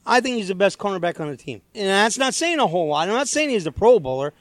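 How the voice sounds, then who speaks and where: A man's voice: high voice, very animated, fast talker, no room sound. A man co-hosting a sports radio show, chatty and opinionated about football. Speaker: co-host.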